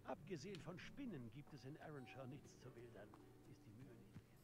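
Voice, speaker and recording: A man's voice: mid-range voice, sing-song, fairly quick, natural voice. A man playing a cocky, taunting poacher villain in a video game, boastful and menacing. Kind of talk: video game enemy barks. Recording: loud game noise over voice. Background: game ambience.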